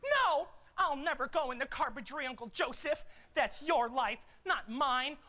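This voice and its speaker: A man's voice: very high voice, animated, measured pace, bright, forceful tone, heavily acted. A man playing a whiny, overwrought young hero who is the chosen one.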